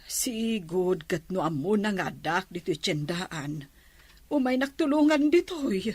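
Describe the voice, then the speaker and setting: A woman's voice: mid-range voice, expressive, takes it slowly, darkish tone, breathy edge, no echo. A woman with an Ilocano accent playing a nervous, troubled woman in a radio drama, speaking hesitantly. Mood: anxious. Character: nervous, uneasy woman needing comfort.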